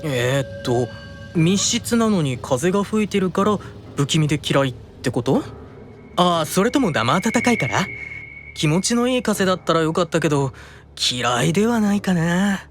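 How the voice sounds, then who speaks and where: An actor playing a gentle, unflappable, curious young man in an anime-style audio drama, with a mild musing manner. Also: fairly high pitch, big pitch swings, moderate pace, faint music behind. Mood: serene, curious.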